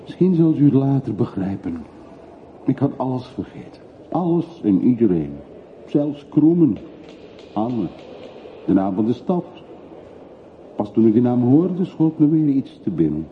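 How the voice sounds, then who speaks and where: A man's voice: medium pitch, sing-song, measured pace, pauses often. An actor playing a brooding middle-aged man back from long exile in a radio play, terse, evasive and reflective. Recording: muffled phone-like old radio recording, faint hiss.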